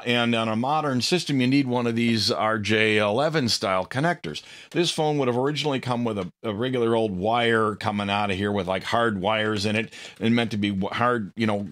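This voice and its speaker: A man's voice: lowish voice, fairly bright tone. A middle-aged man giving a casual, hands-on tutorial, rambling a little with informal asides. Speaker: presenter of a hobby electronics tutorial.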